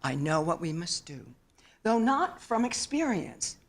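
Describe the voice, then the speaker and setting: A mature woman reads her own literary prose to a live audience. Her voice sits a little low, and she takes her time with a very slow, measured delivery. The storytelling is solemn yet expressive. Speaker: author reading own work. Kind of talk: literary memoir reading at live event. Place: theater stage, live reading.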